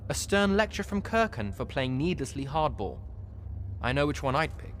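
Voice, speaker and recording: A man's voice: mid-range voice, big pitch swings, moderate pace, fairly bright tone, slight background noise. A man playing a flippant, teasing companion in a fantasy video game, with a wry, glib delivery.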